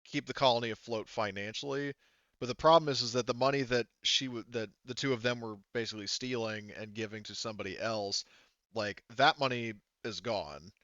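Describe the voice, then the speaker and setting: A man explaining rules as game master of a tabletop roleplaying game, haltingly, thinking aloud and correcting himself as he goes. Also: mid-range voice, fairly bright tone, dry recording. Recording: slightly muffled, band-limited voice audio.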